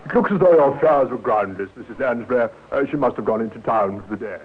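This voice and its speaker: An actor playing a fussy, discreet English hotel manager in a 1950s radio drama, formal, soothing and condescending. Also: male voice, mid-range voice, lively intonation, fast, lightly acted. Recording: muffled, slightly noisy old radio recording.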